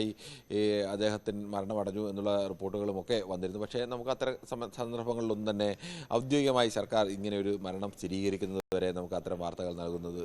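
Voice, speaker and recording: A man's voice: fairly low pitch, a little mellow. A man giving unscripted live news analysis as a correspondent, explaining with frequent rephrasing.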